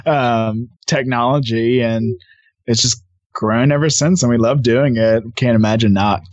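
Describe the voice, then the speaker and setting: A man with a medium-pitched voice talks casually and with enthusiasm, like a guest chatting on a podcast. The sound is close and dry, a little dull on top. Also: fairly even intonation, a little slow, darkish tone, dry recording. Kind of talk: casual conversation.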